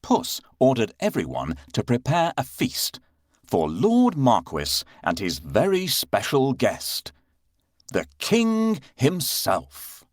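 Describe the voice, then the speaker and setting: A man narrating a children's story aloud in a dramatic, expressive read-aloud style with deliberate phrasing. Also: mid-range voice, no echo, clean studio sound.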